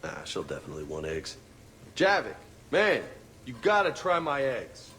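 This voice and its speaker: An actor playing a cheery, boisterous soldier in a video game, calling out in a matey way. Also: male voice, medium pitch, wildly swooping pitch, moderate pace, sharp tone, a little hiss or hum. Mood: cheerful, eager. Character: cheery, boisterous male soldier pushing breakfast.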